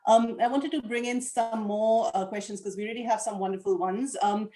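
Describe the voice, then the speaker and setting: A woman moderating an online panel discussion, speaking in a measured, thoughtful way and reading audience questions aloud. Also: on the high side, steady tone, warm tone. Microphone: video call. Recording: echoey room on a video call.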